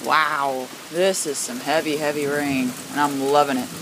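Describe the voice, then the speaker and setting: An excitable amateur storm watcher filming and commenting on the weather in exclamations, often repeating words for emphasis. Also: mid-range voice, normal speed. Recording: home video with rain noise behind. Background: heavy rain.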